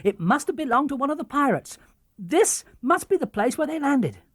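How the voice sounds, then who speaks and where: A man voicing a brisk, excitable young girl sailor with an English accent in a storybook. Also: very high-pitched, no echo, studio quality, voice pushed up, heavily acted. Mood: excited. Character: bossy, eager, adventurous young girl sailor.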